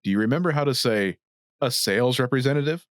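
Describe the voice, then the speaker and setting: A man hosting a language lesson, reading scripted instructions in a clear, didactic way. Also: mid-range voice, expressive, quick pace, noisy background.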